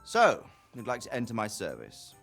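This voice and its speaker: Measured, expressive lord over music. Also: male voice, lowish voice, vocal fry, heavily acted. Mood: reasoning. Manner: measured, authoritative. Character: measured, fair-minded lord, dignified and wry. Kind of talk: video game cutscene acting.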